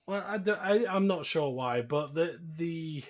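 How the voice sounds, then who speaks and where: A man's voice: a little high, lively intonation, unhurried. Man explaining films in casual podcast chat. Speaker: podcast host.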